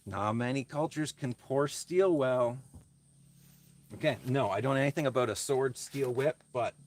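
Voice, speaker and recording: A man chatting casually to livestream viewers as he works on a craft, thinking aloud in a halting, reflective way and answering their comments. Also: medium pitch, a little hiss or hum.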